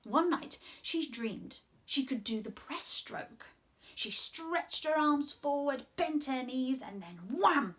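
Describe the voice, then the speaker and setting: A British woman in her twenties hosting children's story time, speaking in an animated, sing-song way with exaggerated expression. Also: high-pitched, takes it slowly. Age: 20s. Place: small room at home, indoors.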